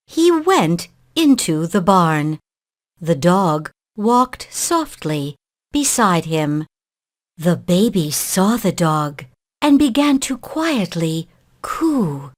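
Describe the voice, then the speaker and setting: A woman reading a children's story aloud in a measured, expressive narrating style. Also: fairly low pitch.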